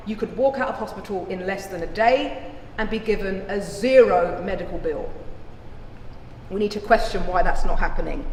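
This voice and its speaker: A woman playing an impassioned trial lawyer, addressing a jury with deliberate, rhetorical persuasion in a courtroom dramatization. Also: mid-range voice, lightly acted. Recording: echoey hall with faint noise.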